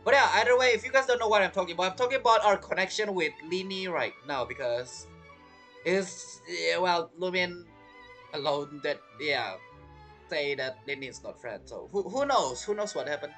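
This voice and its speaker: Male game streamer, casual and rambling, bright timbre with very lively pitch, faint music behind. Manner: halting, rambling, self-interrupting. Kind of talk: casual gameplay commentary.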